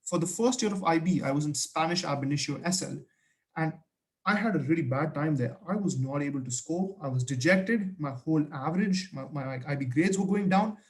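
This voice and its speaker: A young man whose first language is Hindi gives study tips in a casual vlog. His voice sits a little high, and he speaks earnestly and with emphasis. He often stops and restarts his sentences as he goes. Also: brisk. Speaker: student vlogger giving study advice.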